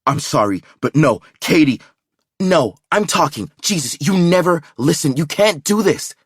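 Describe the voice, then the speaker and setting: A man's voice: medium pitch, animated, a little slow, breathy edge, no room sound, clean studio sound, voice pushed up. A man voicing an exhausted, angry woman who argues over the phone, breathless and strained. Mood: agitated.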